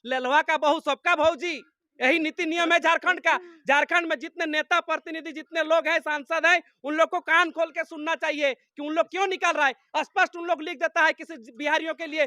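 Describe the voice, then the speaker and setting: Very high, bright, insistent Jharkhand man. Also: no echo, clean studio sound. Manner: insistent, emphatic, repeats phrases. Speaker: farm worker being interviewed. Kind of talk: impassioned political appeal in an interview.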